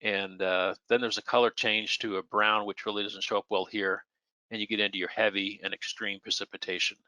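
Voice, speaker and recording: An American man speaks as an expert controller taking questions, in a slightly low, clearly bright voice. The sound is dry and close, and he explains things in plain, conversational language. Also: little pitch movement, a little fast, no echo, low-quality recording. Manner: plainspoken, illustrates points with imagined radio exchanges. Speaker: air traffic controller answering questions.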